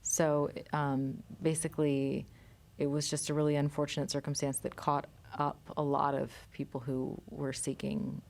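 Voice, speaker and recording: A woman filmmaker, a guest in a studio interview, explaining her work in a measured way with frequent pauses and ums. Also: deep voice, flat delivery, gravelly, a little mellow.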